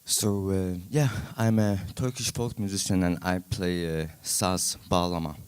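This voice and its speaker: A man from Denmark, a folk musician speaking as a panel guest, soft-spoken and halting with many fillers. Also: low-pitched, steady tone, smooth. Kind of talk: panel talk answer.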